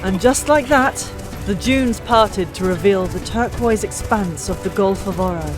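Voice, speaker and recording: A woman's voice: medium pitch, unhurried. Woman narrating fantasy over music. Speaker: audiobook narrator. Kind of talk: dramatic scripted fantasy narration.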